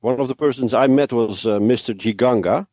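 A man's voice: medium pitch, brisk, darkish tone, no echo. A man answering as an interview guest, explaining things in a careful, somewhat hesitant conversational way. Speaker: interview guest, ministry worker.

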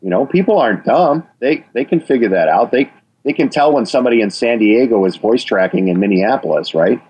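A man's voice: mid-range voice, animated, brisk, a little mellow, husky, rough recording. A man from Wisconsin with a Wisconsin accent, talking as an interview guest and stressing his points with repeated phrases. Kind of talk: interview about radio policy.

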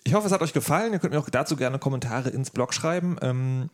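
A man's voice: mid-range voice, animated, quick pace. Man hosting a casual, playful podcast. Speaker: podcast host. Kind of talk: casual podcast moderation, conversational.

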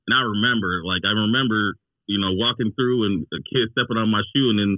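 A man with a low voice talks in a loose, casual podcast style, circling back to rephrase as he goes. The sound is dry and close, with a slightly muffled, lo-fi top end. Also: fast talker, no echo. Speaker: sneaker podcast co-host. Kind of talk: casual sneaker podcast chat.

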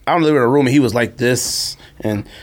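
A man's voice: mid-range voice, fast talker. An American man hosting a casual podcast, talking in blunt, informal slang and acting out imagined quotes as he gives his opinions. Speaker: podcast host.

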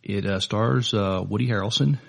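A man co-hosting a podcast in casual chat, with a halting, hesitant delivery. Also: fairly low pitch, gravelly, slightly rough. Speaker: podcast co-host.

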